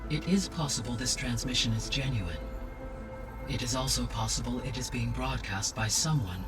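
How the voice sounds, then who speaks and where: A man plays a grave, courteous artificial intelligence in a video game cutscene. The speech is formal and precise, sounding a little away from the mic, with music clearly underneath. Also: mid-range voice, moderate pace, distant mic, lightly acted. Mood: cautious.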